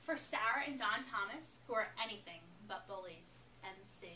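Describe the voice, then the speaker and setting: A woman reading a children's picture book aloud with expressive, emphatic storytelling phrasing. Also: mid-range voice, moderate pace. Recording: echoey, noisy room, distant microphone.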